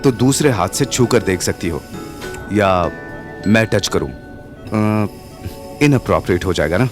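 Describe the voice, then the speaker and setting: A man's voice: fairly low pitch, animated, measured pace. A man acting a young professor, intense, protective and teasing, in a romantic audio drama. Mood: amused, teasing. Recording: music under the voice.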